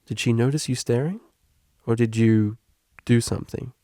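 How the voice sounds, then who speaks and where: Kindly storybook stallion tutor, played by a man: informal, encouraging, playful, a touch gravelly, dry recording. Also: mid-range voice, normal speed, mellow, no room sound, close to an everyday voice. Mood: calm, gentle. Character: energetic kindly male pony tutor, understanding, playful. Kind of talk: fan fiction audiobook character reading.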